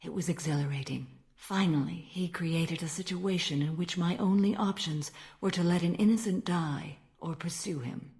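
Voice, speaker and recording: An actress playing a stern, ancient alien warrior-monk in a video game, speaking in a formal, measured and solemn manner. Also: female voice, lowish voice, a little mellow, lightly acted. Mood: grave admiration. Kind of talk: dramatic video game dialogue.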